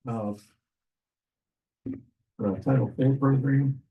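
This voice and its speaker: A man losing his voice, presenting agenda items at a public meeting in a businesslike way, clearing his throat often. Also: medium pitch, steady tone, slow, narrow, tinny audio. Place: county meeting room. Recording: echoey meeting room, distant mic.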